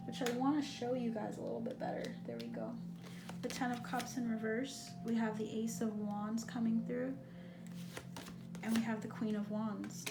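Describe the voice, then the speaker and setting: A woman giving a pick-a-card tarot reading, talking in a gentle, reassuring, musing way. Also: on the high side. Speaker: tarot reader. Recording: echoey room with noise.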